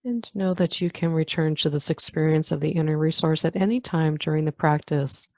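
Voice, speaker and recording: A woman leading a guided meditation in a calm, unhurried manner, leaving long pauses between phrases. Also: low-pitched, dry recording, studio quality. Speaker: meditation guide, yoga nidra teacher. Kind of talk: guided meditation, body scan.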